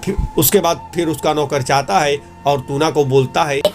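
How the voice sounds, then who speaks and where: A man's voice: fairly high pitch, moderate pace, faint music behind. A man narrating a scripted moral story for a cartoon, as a storyteller.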